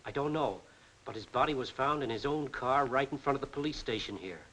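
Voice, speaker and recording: A man's voice: mid-range voice, fairly quick, fairly bright tone, close to an everyday voice. A man playing a sly, self-important doctor eager to please, in a 1940s crime film, with a knowing manner. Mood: eager, conspiratorial. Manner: knowing, insinuating. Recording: noisy old 1940s film soundtrack.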